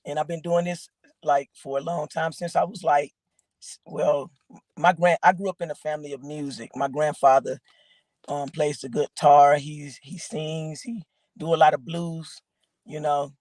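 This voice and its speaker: A woman from Georgia with a raspy voice, a guest rapper rambling casually with lots of fillers. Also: low voice, fairly even intonation, moderate pace, a little mellow, no echo. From Augusta, Georgia, raised in Atlanta. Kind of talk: casual interview chat.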